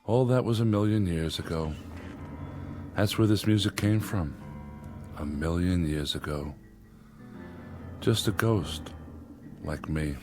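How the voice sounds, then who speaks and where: An actor playing a weary, stubborn leader of hungry survivors, firm and commanding, in a dramatic radio play. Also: male voice, deep voice, slow, pauses often. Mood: wistful. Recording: dramatic music behind the voice.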